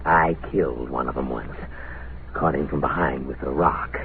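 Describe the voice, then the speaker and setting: A man's voice: fairly low pitch, sing-song, a little slow. An actor playing a paranoid, half-mad male prisoner in an old radio drama, suspicious, jumpy and given to laughing to himself. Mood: crazed, boastful. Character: deranged, paranoid male prison-camp inmate, violent ex-teacher. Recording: tinny, noisy old radio recording.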